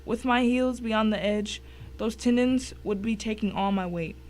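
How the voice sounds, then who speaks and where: A woman's voice: a little high, normal speed, a little mellow, natural voice. A woman playing a tense first-person narrator in a dramatic suspense story.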